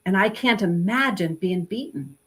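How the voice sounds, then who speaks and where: A woman's voice: medium pitch, moderate pace, breathy edge. A woman guest on an online talk, speaking emphatically and telling personal stories with energy. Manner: emphatic, animated storyteller. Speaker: guest expert. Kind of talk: casual chat.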